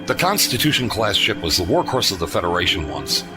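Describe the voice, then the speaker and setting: Confident starship captain man acting, commanding, expressive delivery, sci-fi audio drama over loud music. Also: fairly low pitch. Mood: calculating. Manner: measured explanations, firm commands. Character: confident, shrewd male starship captain, steady under pressure.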